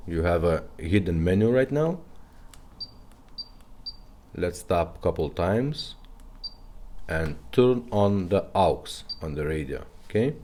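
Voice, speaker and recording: A man's voice: low-pitched, dark tone, a little hiss or hum. A man giving a casual, step-by-step product demonstration in an instructional way. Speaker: presenter demonstrating retrofitted car radios.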